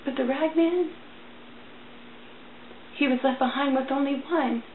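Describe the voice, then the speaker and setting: A woman's voice: sits high, measured pace. A woman giving a theatrical, emotional dramatic reading as a storyteller. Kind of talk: dramatic reading of a religious allegory. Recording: tinny, band-limited, echoey, faint noise.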